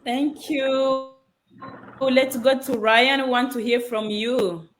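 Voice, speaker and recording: A woman hosting an online group call, introducing each speaker in a slow, deliberate way. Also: sits high, fairly even intonation, poor audio. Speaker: host.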